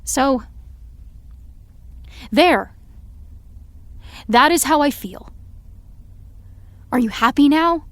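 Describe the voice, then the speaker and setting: A woman's voice: sits high, animated, very slow, pauses often, no room sound, exaggerated voice. An actress playing a guarded young woman who lashes out at her best friend with cutting sarcasm. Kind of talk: web series drama acting.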